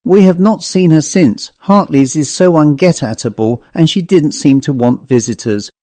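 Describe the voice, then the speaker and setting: A man's voice: on the high side, normal speed, mellow, no echo, natural voice. A man voicing a gossipy, matter-of-fact country woman in a storybook reading, conversational and genteel. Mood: chatty.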